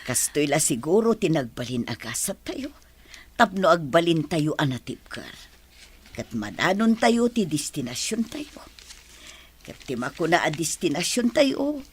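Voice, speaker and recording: A woman playing a gentle, emotional older mother in a family drama, with a husky voice and an Ilocano accent. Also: low-pitched, very animated, unhurried, a little hiss or hum. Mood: moved, relieved. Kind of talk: dramatized Ilocano radio-style story acting.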